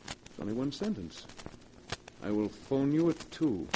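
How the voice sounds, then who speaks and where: An actor playing an anxious, insistent husband in a 1940s radio drama, with emphatic theatrical delivery, on a hissy old recording. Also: male voice, mid-range voice, sing-song, unhurried. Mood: suspicious.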